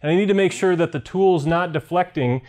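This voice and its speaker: Higher-pitched man giving a technical explainer. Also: a little fast, darkish tone. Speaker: company executive presenting a technical explainer. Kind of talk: explanatory whiteboard lesson. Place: indoor video studio with whiteboard.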